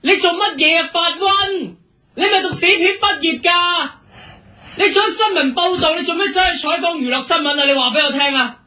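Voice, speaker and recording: A man's voice: very high voice, moderate pace, pushed and bright, heavily acted. A man playing a hot-tempered newsroom boss with a Hong Kong Cantonese accent, berating sarcastically in a comic radio drama. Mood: furious scolding. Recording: echoey, distant from the microphone.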